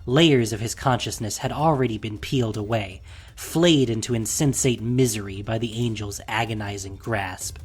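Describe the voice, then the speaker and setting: A man narrating a scripted fantasy audio drama in a dramatic, deliberate way, with a breathy voice. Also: lowish voice.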